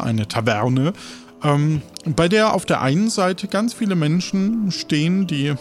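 A man's voice: fairly high pitch, creaky. A man narrating an improvised role-play as game master, feeling his way through the words, with music behind.

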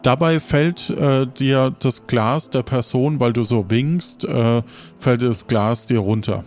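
A man narrating an improvised tabletop game as game master, halting and building scenes in short phrases. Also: medium pitch, a little mellow. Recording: music under the voice.

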